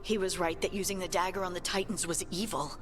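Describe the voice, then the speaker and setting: Woman acting serious game adventurer, lowish voice, matter-of-fact, faint music behind. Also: fairly even intonation, fast talker, natural voice.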